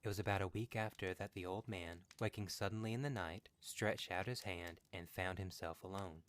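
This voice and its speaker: A man's voice: low voice, moderate pace, slight background noise. A man reading a horror story as scripted narration for a podcast.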